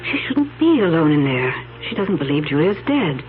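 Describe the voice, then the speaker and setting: A woman's voice: sits low, sing-song, moderate pace, narrow, tinny audio. A phone-thin vintage radio recording with faint music carries an impatient wife. She sounds uneasy and insistent, in the manner of old radio drama.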